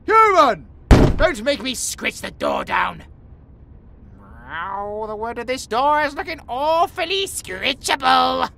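A man's voice: very high-pitched, vocal fry, sharp tone, slight background noise, heavily acted. A British man playing a pompous, melodramatic tiger-boy cat in a comedic audio roleplay, with a grandiose, theatrical manner.

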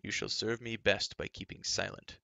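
A man voicing a calm, wise male hero in disguise, low and measured. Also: creaky, no echo, heavily acted. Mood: secretive.

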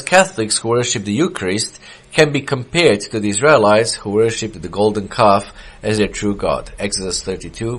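A middle-aged man whose first language is Serbian, giving a measured sermon with frequent pauses and fillers, quoting scripture in a small church. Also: fairly low pitch, a bit bright, slightly rough. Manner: measured, hesitant with many fillers. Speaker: preacher. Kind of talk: sermon reading and explaining quotes. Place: small church with wooden wall.

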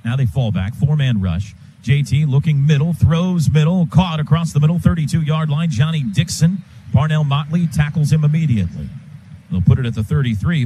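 An American man calling live football on the radio as play-by-play announcer, in clipped phrases that build toward excited calls. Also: mid-range voice. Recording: stadium booth with loud crowd noise.

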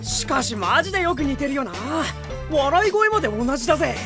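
A woman playing a brash, easily startled schoolboy with rough wording in an anime audio drama. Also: sits high, very animated, brisk, over music. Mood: wry.

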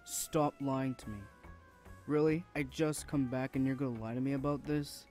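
A man's voice: medium pitch, steady tone, normal speed, a little mellow, faint music behind, natural voice. A man voicing a rude, protective older brother in an audio roleplay, blunt and confrontational. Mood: angry, accusing. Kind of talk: amateur audio roleplay acting.

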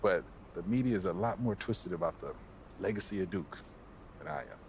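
A man's voice: sits low, lively intonation, fairly quick, slight background noise. An American man in his twenties playing a guarded, laid-back basketball star in a video game, speaking casually and with understatement. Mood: calm, confident. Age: 20s. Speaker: basketball player character. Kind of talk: video game cutscene dialogue.

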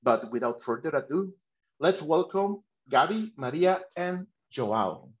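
A man's voice: fairly high pitch, lively intonation, a little slow, breathy edge. A man hosting a webinar over a video call, reading a prepared presentation with some hesitant restarts. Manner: reads from a script with hesitant restarts. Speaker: host. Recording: slightly muffled video call audio.

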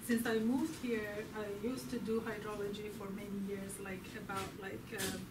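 A woman's voice: medium pitch, little pitch movement, moderate pace, background noise. Woman academic, hesitant, filler-heavy, distant echoey room. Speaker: assistant professor. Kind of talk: self-introduction to a class. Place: small classroom with hard walls. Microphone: far camera.

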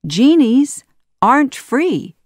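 A woman voicing a brave, quick-witted young male hero in a storybook, bold and urgent. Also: medium pitch, a little mellow, dry recording, studio quality.

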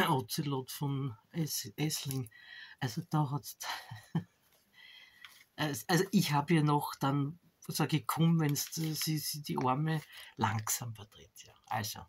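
A woman with a stuffy nose talks slowly through a craft journal, her voice gravelly with fry. She rambles, breaks off mid-sentence and teases herself. A faint noise sits under the recording. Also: deep voice. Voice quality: chatty, self-deprecating, trails off mid-sentence. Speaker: crafting vlogger. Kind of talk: casual chat while showing a junk journal. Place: indoors at a table.

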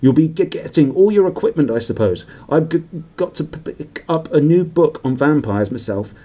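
A man's voice: medium pitch, lively intonation, moderate pace, a little mellow, lightly acted. A man voicing a nervous male professor who stammers on almost every word. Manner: stutters on many words.

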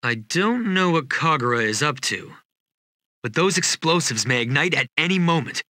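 An actor playing a composed, well-spoken young monk in an anime game, calm and earnest. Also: male voice, a little high, normal speed, dry recording, studio quality. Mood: serious.

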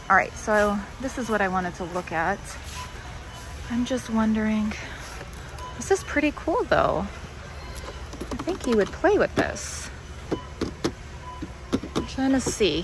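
A woman giving casual, chatty running commentary for a shopping vlog, thinking aloud as she goes. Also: medium pitch. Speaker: family shopping vlogger. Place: large indoor warehouse store. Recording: clear background noise of warehouse store.

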